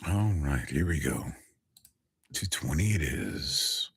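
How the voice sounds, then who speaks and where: Gravelly deep-voiced streamer chatting. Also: male voice, expressive, slow pace, no room sound. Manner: chatty, talks straight to a viewer. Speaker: voice actor streamer. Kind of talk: casual livestream chat.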